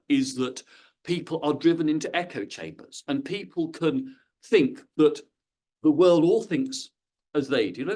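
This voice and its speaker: A man's voice: on the high side, a little mellow. A middle-aged man speaking on a panel, hesitant, with many fillers and repeated words. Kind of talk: panel discussion.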